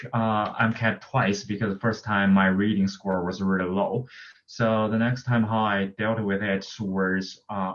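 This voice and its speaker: A man from China, a medical student whose first language is Chinese, speaking on a video call panel, halting with frequent fillers. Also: on the low side, a bit bright, highs cut off. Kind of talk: casual panel talk.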